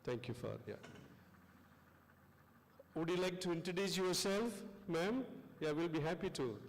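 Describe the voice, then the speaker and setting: A man with a South Indian accent hosting a class session, giving informal instructions in a halting, repetitive way. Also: a little high, lively intonation, slight background noise. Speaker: lecturer and session host. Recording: long echoing hall reverb.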